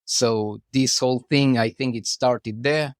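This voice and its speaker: A man's voice: mid-range voice, fairly even intonation, a little slow, dry recording, studio quality. A middle-aged Argentine man, a guest artist, chatting loosely in an interview, restarting phrases and saying "you know" often. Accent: Argentine Spanish. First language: Spanish. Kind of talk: casual interview chat.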